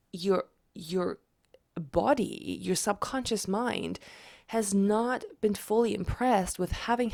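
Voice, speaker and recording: Raspy woman with a slight cold. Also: fairly low pitch, a little mellow, no room sound, clean studio sound. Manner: chatty, repeats herself with restarts. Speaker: manifestation vlogger. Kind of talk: casual explanatory vlog.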